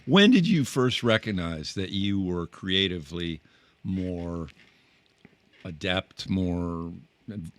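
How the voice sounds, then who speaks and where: Deep-voiced man hosting interview. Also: expressive, slow pace. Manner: curious, with frequent short affirmations. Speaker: radio host. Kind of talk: interview conversation. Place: radio studio.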